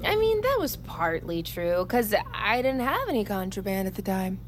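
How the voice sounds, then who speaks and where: An actress playing a defiant, hot-tempered young American army recruit in an audio drama, with a blunt, sarcastic, profane way of talking. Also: female voice, medium pitch, big pitch swings, normal speed, noisy background, close to an everyday voice. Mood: wry. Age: young adult. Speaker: lead character and narrator.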